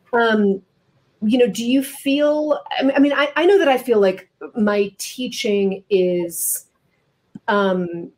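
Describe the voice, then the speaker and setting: A middle-aged woman with an American accent speaks in an informal literary interview. Her pitch is average for a woman. She thinks aloud and leans on key words as she builds toward a question. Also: a little mellow. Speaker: interviewer, novelist and teacher.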